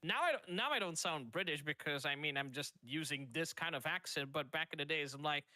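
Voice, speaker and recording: A man's voice: on the high side, animated, a little fast, crowd noise. A talkative man on a casual group podcast over a voice call, teasing the others and laughing a lot. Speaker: podcast guest.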